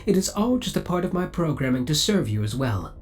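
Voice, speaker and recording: Spaceship AI , played by a man: formal, courteous, politely obsessive, with animated pitch swings, for an ASMR roleplay. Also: fairly quick. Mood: politely defensive. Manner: formal, courteous, precise servant-like delivery. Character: devoted, possessive male spaceship AI, politely obsessive.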